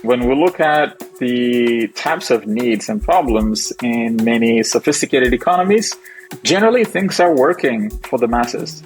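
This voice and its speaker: A young adult man from Ethiopia, a founder and investor, giving measured, thoughtful interview answers with the odd restart. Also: mid-range voice, lively intonation, faint music behind.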